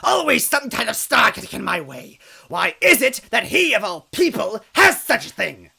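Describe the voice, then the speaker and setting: A man's voice: extremely high pitch, huge pitch swings, moderate pace, sharp tone, voice pushed up, full character voice. A man voicing a cruel scheming old female bean witch in a storybook reading, sneering and swinging from hisses to screams. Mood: frustrated. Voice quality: raspy, cackling. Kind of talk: storybook reading with character voices.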